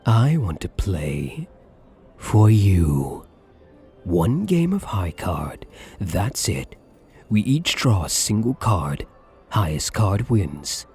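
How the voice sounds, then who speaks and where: Man acting as suave possessive male gambler, low breathy voice, slow teasing drawl, flirtatious menace, ASMR roleplay. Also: very animated, darkish tone, faint music behind, exaggerated voice. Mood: seductive, possessive.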